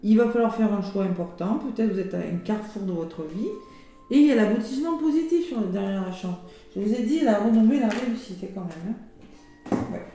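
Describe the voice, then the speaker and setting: A hoarse woman chats through a weekly oracle card reading, with plenty of fillers and exclamations. Her voice sounds mellow and dark. It comes from a very echoey room with faint music behind her. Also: medium pitch, animated, fairly quick. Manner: chatty, with many fillers and exclamations. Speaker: tarot and oracle card reader.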